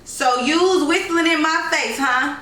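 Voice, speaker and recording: A woman voicing an exasperated, sharp-tongued old grandmother from the rural American South in a storybook reading, threatening and scolding. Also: high voice, unhurried, far from the mic, pitched above the performer's own voice. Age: older. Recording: roomy echo, noisy, off-mic home recording.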